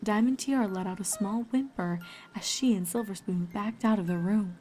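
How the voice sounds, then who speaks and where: A woman's voice: medium pitch, normal speed, a little mellow. Woman narrating fiction over background noise. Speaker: storyteller.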